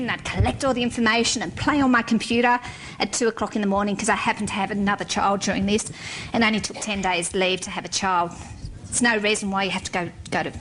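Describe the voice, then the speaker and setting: A woman's voice: medium pitch, fairly bright tone, a little hiss or hum, poor audio. A middle-aged woman, a civil engineer, giving a frank, wry talk to an audience. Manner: frank and wry, with blunt humor.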